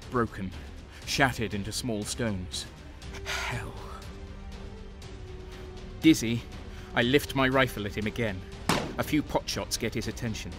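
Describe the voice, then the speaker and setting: A man's voice: medium pitch, natural voice. Man acting a grim soldier narrator over music. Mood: pained, tense. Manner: terse, grim, intense. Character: grim, battle-worn male traitor soldier narrating his fight. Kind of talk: dramatic first-person audio drama narration.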